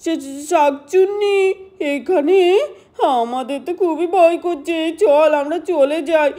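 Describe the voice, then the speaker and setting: Woman playing a high-pitched, blustering scaredy village kid. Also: a little slow, warm tone. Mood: frightened. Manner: childish, exclamatory, stammering bluster. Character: village children, easily scared but boasting of bravery.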